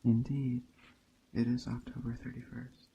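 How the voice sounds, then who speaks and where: A man performs a gentle witch in a hushed ASMR roleplay. His voice is dark and creaky, and he speaks very slowly and deliberately, drifting into whispers. Faint nature ambience sits behind him. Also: medium pitch, little pitch movement. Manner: hushed, slow, deliberate, often slipping into whisper. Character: gentle pagan witch teaching a traveler about a holiday. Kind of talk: ASMR roleplay, soft-spoken character monologue. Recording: dull muffled audio, faint ambient noise. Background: soft nature ambience of rain and forest.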